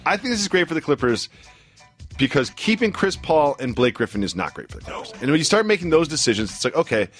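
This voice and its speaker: A man co-hosting a sports show, quick and jokey in casual studio talk. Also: fairly high pitch, big pitch swings, fairly bright tone, faint music behind. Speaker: co-host. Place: radio studio.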